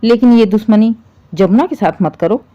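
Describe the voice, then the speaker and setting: A woman's voice: fairly high pitch, moderate pace, dark tone, muffled recording, faint music behind, close to an everyday voice. A woman voicing an earnest young husband who reasons protectively and pleads with his mother in a story. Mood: pleading.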